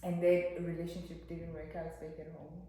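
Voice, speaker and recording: A woman's voice: low voice, flat delivery, fairly quick, mellow. A young South African woman guest in a casual chat, telling stories bluntly and frankly. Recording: echoey room with faint noise.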